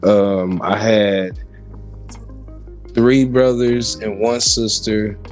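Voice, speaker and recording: Low-voiced American man over music. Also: steady tone, extremely slow. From Kansas City, Missouri, United States. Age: 40s. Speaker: podcast guest giving testimony. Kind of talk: personal testimony in interview.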